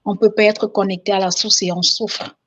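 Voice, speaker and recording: A woman's voice: mid-range voice, flat delivery, moderate pace, highs cut off, background noise. A woman from Cameroon, calling in to a spiritual talk show to give an emotional testimony, often repeating herself. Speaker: caller giving testimony.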